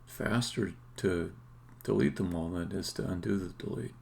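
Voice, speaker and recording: Male game developer streamer, deep and heavily creaky, casual muttered think-aloud, hesitant phrasing. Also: darkish tone, slight background noise. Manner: halting, muttering to himself while working. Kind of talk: casual think-aloud live-coding commentary.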